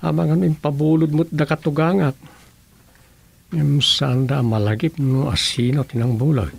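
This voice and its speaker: A man's voice: a little high, steady tone, moderate pace, vocal fry, a little mellow, breathy edge. A man acting as a practical, scheming townsman in an Ilocano radio comedy drama, with an Ilocano accent. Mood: conversational, grumbling.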